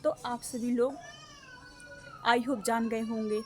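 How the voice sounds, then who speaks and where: Young woman, casual vlog chatter, fairly high voice, outdoors on a phone, faint background noise. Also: fairly even intonation, slow, a little mellow. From Ambedkar Nagar, Uttar Pradesh, India. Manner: chatty, repetitive, addresses viewers as guys. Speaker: vlogger. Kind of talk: casual vlog chat to viewers. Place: outdoors at home near a guava tree.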